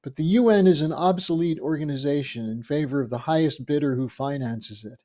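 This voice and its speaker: An older man hosts a webcast from a book-lined home office, reading viewers' questions aloud over a video call. His voice is warm and mellow, a bit higher than most men's, and his delivery is measured. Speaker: host.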